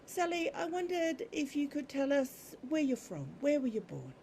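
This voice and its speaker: A woman's voice: high-pitched, very animated, normal speed, dark tone, a little hiss or hum. A woman hosting a podcast interview over a video call, effusive and lavishing praise on her guest. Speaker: podcast host.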